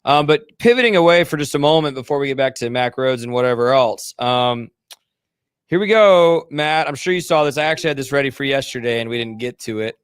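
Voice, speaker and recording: A man co-hosting a sports talk show, chatting casually with quick asides. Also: mid-range voice, lively intonation, clean tone, no room sound, studio quality. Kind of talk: casual radio sports chat.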